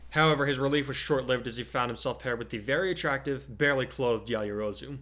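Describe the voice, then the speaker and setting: A man's voice: mid-range voice, quick pace, a bit bright. A man hosting in an informal way, talking and reading his own fiction aloud. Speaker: podcast host and story author. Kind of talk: casual chat and dramatic story reading.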